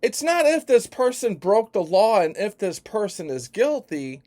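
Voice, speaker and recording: A man from Chicago giving an emphatic, profane opinion rant that swings between hushed and loud. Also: high voice, sing-song, a little slow. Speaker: vlogger.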